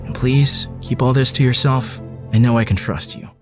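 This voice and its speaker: An actor playing a sincere, trusting young boyfriend in an animated story, speaking earnestly and gently. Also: male voice, mid-range voice, moderate pace, faint music behind, natural voice. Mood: grateful, serious.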